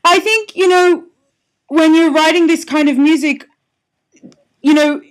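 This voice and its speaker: A woman's voice: high voice, fairly even intonation, measured pace, poor audio. A woman from Australia, a singer-songwriter, talking in a friendly, chatty way as a guest on a phone-in radio interview. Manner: friendly, chatty, laughs often. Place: home in London. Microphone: telephone.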